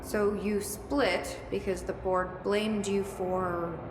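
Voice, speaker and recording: A woman's voice: fairly even intonation, takes it slowly, background noise, lightly acted. An actress playing a wry, plainspoken young woman agent in a video game, talking in a dry, conversational, sardonic way. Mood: wry, puzzled. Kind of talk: video game cutscene acting. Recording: echoey hall, loud rumbling ambience.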